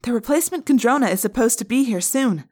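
A woman's voice: a little high, expressive, fast talker, darkish tone, voice pushed up. A woman voicing a cautious, tough teenage girl warrior who speaks firmly. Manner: firm and level-headed.

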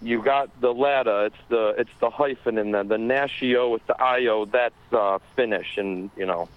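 A man voicing a shady, smooth-talking male caller who drawls and hesitates a lot. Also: mid-range voice, normal speed, lightly acted. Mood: sly. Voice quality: drawling, with many filler sounds. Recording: dull lo-fi phone sound.

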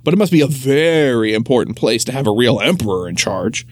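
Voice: medium pitch, fairly quick, dry recording, lightly acted. Clara, a reader voicing a clever scolding traveler. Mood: coaxing. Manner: scolding, coaxing. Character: clever young woman traveler, bossy.

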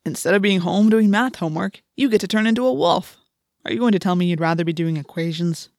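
A woman voicing a calm, decisive, no-nonsense teenage boy leader in an audiobook, speaking plainly and firmly. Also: lowish voice, fast, dry recording, studio quality, close to an everyday voice. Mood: teasing. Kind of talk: audiobook character voice.

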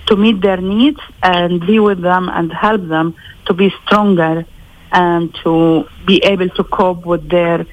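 Woman from lebanon, slow careful answers, low voice, muffled phone audio. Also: steady tone. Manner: measured, thoughtful, slow with pauses. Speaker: interviewee. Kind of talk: recorded interview. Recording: lo-fi phone line.

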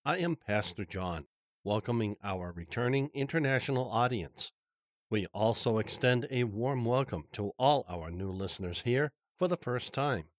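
A congested male pastor giving a Bible study lesson in a formal, deliberate way. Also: medium pitch, lively intonation, dry recording, studio quality.

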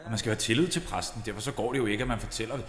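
An actor playing a flustered, fussy, stammering parish priest in a comic radio drama. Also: male voice, fairly low pitch, crowd noise, close to an everyday voice. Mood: flustered, hesitant. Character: flustered, hesitant, indiscreet male parish priest. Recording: echoey reverberant church sound.

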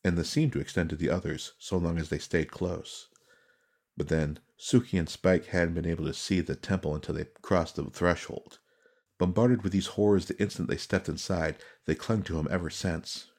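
A man reading dramatic fantasy narration as an audiobook narrator, solemn, measured and suspenseful. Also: low voice, a little mellow.

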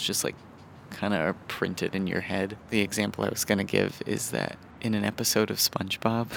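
A man's voice: on the low side, gravelly, a little hiss or hum. A man casually telling childhood stories in a conversational, chuckling way, with music underneath. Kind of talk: casual personal storytelling.

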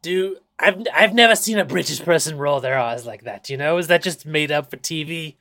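A co-host of a snack review show, chatting casually and playfully, a little hoarse. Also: medium pitch, moderate pace, sharp tone. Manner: playful, theatrical sign-offs with laughs between lines. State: slightly hoarse. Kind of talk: casual chat.

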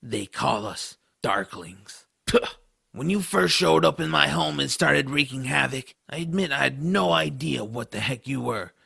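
Give this to a defiant troll, once a dark elf, now a bitter runaway slave. His voice is heavily gravelly with a sharp, forceful edge. He talks with sardonic indignation, as in a fantasy audio drama. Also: medium pitch, expressive, unhurried, no room sound, studio quality, exaggerated voice. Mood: accusing. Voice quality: gravelly, rough. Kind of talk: audiodrama character acting.

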